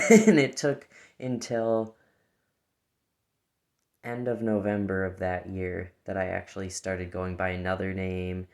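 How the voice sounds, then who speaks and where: Young transmasculine vlogger, deep voice, unhurried and halting, chatting reflectively to camera. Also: male voice, fairly even intonation, a little mellow. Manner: hesitant, halting, full of restarts and "like". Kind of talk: casual reflective monologue.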